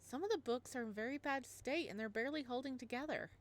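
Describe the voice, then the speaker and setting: A woman's voice: high-pitched, fast talker, natural voice. A woman voicing a polite, cheerful female school librarian in a visual novel, friendly and matter-of-fact.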